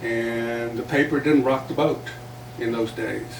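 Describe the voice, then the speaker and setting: Older guy from south texas, spanish was his first language, unhurried storyteller, roomy far-off mic with faint hiss. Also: mid-range voice, steady tone, gravelly. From South Texas, USA. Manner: unhurried, reflective, with small chuckles. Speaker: guest, journalist and playwright. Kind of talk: relaxed storytelling interview. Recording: roomy, distant mic with faint background noise.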